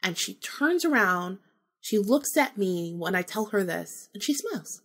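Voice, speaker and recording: Female podcast host, medium pitch, conversational personal storytelling with informal, acted-out dialogue. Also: measured pace, slightly rough. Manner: animated retelling, acting out remembered dialogue, informal with swearing.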